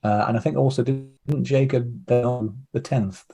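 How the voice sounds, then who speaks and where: A man's voice: mid-range voice, steady tone, measured pace, gravelly, mellow. A man giving hesitant, discursive explanations as the guest in a casual interview. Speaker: interview guest, audio drama producer.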